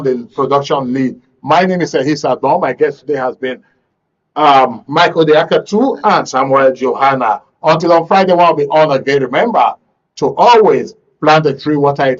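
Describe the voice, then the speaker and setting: Higher-voiced Nigerian male talk show host, dull audio. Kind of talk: current affairs panel moderation.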